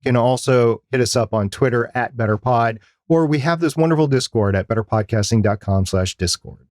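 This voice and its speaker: A man's voice: mid-range voice, fairly quick, a little mellow, dry recording. Male podcast host with close, dry sound. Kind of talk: semi-scripted advice podcast narration.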